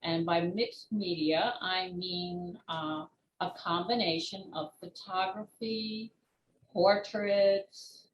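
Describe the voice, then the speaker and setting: An older woman, in her sixties or beyond, answering interview questions as an author and former teacher in a measured, explanatory way with pauses between short phrases. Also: lowish voice, steady tone. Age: 60s or older. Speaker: children's book author and retired teacher being interviewed. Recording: roomy echo with clear background noise.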